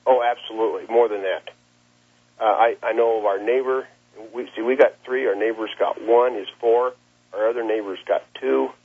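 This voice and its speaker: Man, husky voice, plain-spoken, halting with repeated words, muffled telephone sound. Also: medium pitch, fairly even intonation. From Nebraska, United States. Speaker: cattle rancher being interviewed. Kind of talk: interview account, conversational. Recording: muffled, lo-fi phone interview line.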